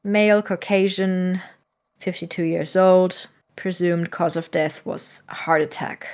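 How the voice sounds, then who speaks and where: A man's voice: high-pitched, steady tone, a little slow, clean tone. An exasperated young male medical student in an audio drama. He is anxious and cuttingly sarcastic, a reluctant accomplice who speaks in a natural, everyday voice. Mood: uneasy, factual. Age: young adult.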